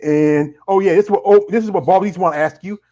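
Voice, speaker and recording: Middle-aged male host, rambling, full of "you know" fillers, slightly higher voice. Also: fast talker, dark tone, highs cut off. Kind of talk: casual live-stream interview.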